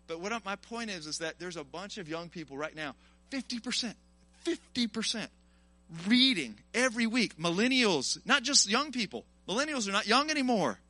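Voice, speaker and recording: Bright, high-voiced man preaching informally. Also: moderate pace, no echo. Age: early 40s. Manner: animated, colloquial, exhorting the listeners. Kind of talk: informal sermon to a live congregation, with personal testimony.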